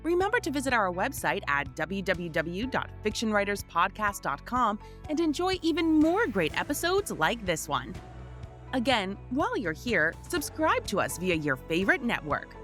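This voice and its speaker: A woman's voice: medium pitch, wildly swooping pitch, normal speed, a bit bright. A woman announcer reading a scripted promotional podcast outro. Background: music under the voice.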